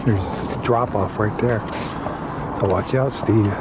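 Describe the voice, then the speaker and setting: A man's voice: fairly low pitch, slow pace. A man from California giving casual commentary while touring a palace. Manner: chatty, remarks on the sights. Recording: loud background noise.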